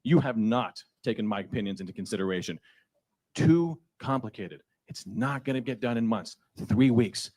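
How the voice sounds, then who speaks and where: A man speaks furiously to his congressman during public comment, sarcastic and confrontational. His voice is mid-pitched and carries through a handheld microphone in a public meeting. He keeps pressing his point. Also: lively intonation, normal speed, darkish tone. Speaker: constituent at a town hall.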